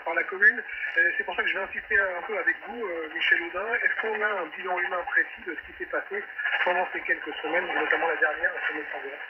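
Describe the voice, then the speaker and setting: A man's voice: high voice, steady tone, fast. A man hosting a radio news debate, presenting and putting questions to guests. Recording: noisy muffled shortwave radio reception. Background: loud radio static and hiss.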